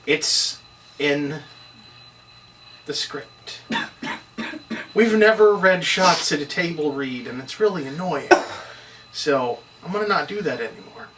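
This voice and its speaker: A man reading screenplay stage directions at a table read in a clipped, matter-of-fact way. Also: fairly high pitch. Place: indoor table read, recorded on camera. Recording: distant mic, faint room noise.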